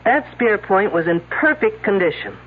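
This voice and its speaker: A woman's voice: mid-range voice, sing-song, moderate pace, husky. An actress playing a brash, shrewd woman detective in a radio drama, sardonic and commanding. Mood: mocking, confident. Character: brash, wisecracking female detective, shrewd and sarcastic. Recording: muffled old radio broadcast recording.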